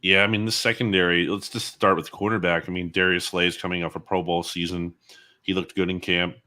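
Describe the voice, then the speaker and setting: A man with a very low, bright and punchy voice gives football analysis as an interview guest. He reasons through his points in a measured, analytical manner. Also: flat delivery.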